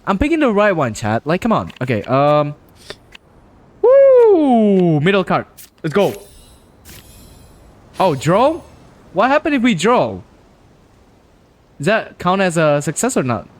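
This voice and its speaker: A male streamer giving casual live-stream commentary, slangy and joking, reacting loudly as he plays. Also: high-pitched, wildly swooping pitch, slow pace, pauses often.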